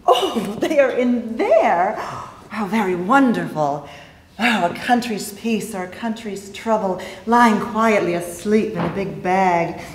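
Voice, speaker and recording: A woman's voice: medium pitch, expressive, slow. Woman playing a coy mysterious lady, echoey stage. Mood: teasing. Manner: coy, teasing, dramatic delivery. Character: mysterious, flirtatious, coaxing woman at masquerade ball. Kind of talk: theatrical period stage acting. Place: theater stage, indoors. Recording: echoey stage hall, hissy background noise.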